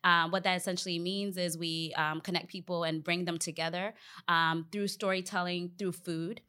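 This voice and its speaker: A woman's voice: lowish voice, little pitch movement, moderate pace, sharp tone, clean tone. A woman born in Ghana and raised in the US, talking earnestly and explaining things as a podcast guest. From born in Ghana, grew up in Northern Virginia, USA. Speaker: podcast guest, company co-founder. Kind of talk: conversational podcast talk.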